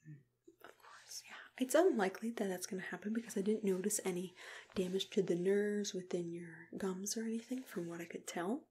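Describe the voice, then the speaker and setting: A young woman doing an ASMR roleplay as a caring dentist, mostly whispering in a gentle, reassuring way, close to the microphone. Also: mid-range voice, a little fast, slight background noise. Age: young adult. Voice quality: breathy, hushed, mostly whispered. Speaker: ASMR creator playing a dentist.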